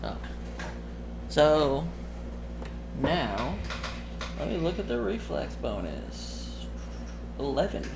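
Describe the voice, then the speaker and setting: A man working as game master, casually narrating a tabletop game in a halting way, muttering through dice sums. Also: fairly high pitch, big pitch swings. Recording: clearly noisy background.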